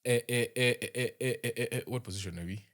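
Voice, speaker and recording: A young man with a Kenyan accent, casually reviewing a rugby match as host, chatty and halting with lots of fillers and restarts. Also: medium pitch, a bit bright.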